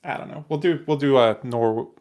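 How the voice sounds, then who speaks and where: A man's voice: medium pitch, moderate pace, darkish tone. A young man co-hosting a podcast, chatting in an easygoing way with chuckling asides. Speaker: podcast co-host. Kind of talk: casual chat.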